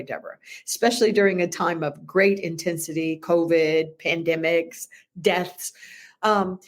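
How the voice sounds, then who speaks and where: A woman co-hosting a discussion show, talking in long, animated run-on thoughts. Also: on the low side. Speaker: podcast co-host. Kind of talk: casual discussion.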